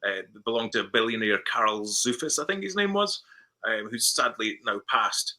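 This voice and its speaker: A Scottish man with a very bright, forceful tone tells stories in a relaxed interview. He often stumbles over and repeats words. He slips into the voices of the people he is quoting. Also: medium pitch, normal speed. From Scotland. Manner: chatty storyteller who repeats words and acts out dialogue. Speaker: film director guest. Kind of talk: casual interview chat.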